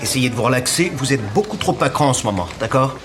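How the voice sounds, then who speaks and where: A calm, disarming homicide inspector, played by a man in a dubbed crime series. He talks in a soothing, easygoing way with a hint of teasing, in a middle pitch, over clear background noise. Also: quick pace, lightly acted. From Wisconsin. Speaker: police inspector. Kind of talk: French dub of a TV crime drama.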